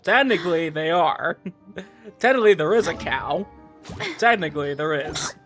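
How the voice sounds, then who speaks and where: A streamer chatting casually over a game, rambling and emphatic. The medium-pitched voice swoops around a lot, with faint music behind it. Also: expressive, moderate pace, a bit bright. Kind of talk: casual gaming stream commentary.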